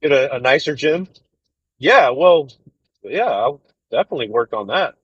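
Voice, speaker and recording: A man in his thirties chatting casually with viewers on a livestream, rambling and relaxed with lots of fillers. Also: medium pitch, normal speed, husky, no room sound, lo-fi sound. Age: 30s. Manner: laid-back, rambling, full of fillers and "dude". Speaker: truck driver livestreamer. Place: inside a truck cab.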